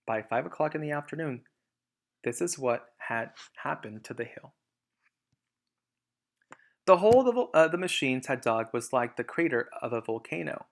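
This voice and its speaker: A man's voice: mid-range voice, animated, moderate pace, gravelly, pauses often. A man reading a storybook aloud as a teacher, emphatic in narration and explanatory in asides.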